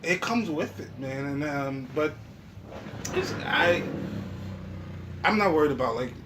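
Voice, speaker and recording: A man's voice: on the high side, lively intonation, measured pace. A man in a casual podcast chat, talking in an informal, slangy way with plenty of swearing. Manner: profane, slangy, informal talk. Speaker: podcast host. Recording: clear background noise.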